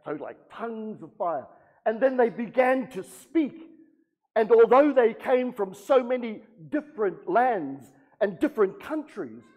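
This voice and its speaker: A man preaching slowly and gently, his pitch rising and falling. The voice carries long church reverb. He speaks as a priest addressing his people. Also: muffled recording. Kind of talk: homily to a congregation. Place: church sanctuary.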